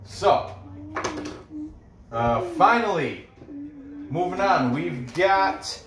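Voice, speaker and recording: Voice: mid-range voice, animated, very slow. A person from New York, born in Korea, giving casual, rambling fight betting commentary and often interrupting themselves. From born in Korea, grew up in New York. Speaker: host giving betting picks. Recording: echoey room with noise.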